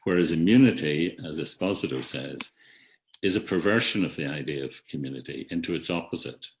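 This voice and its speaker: A man giving a reflective academic talk in a webinar, measured, with frequent restarts and words that trail off softly. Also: extremely low pitch, slight background noise, poor audio. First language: not an Irish speaker. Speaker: webinar speaker. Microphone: video call.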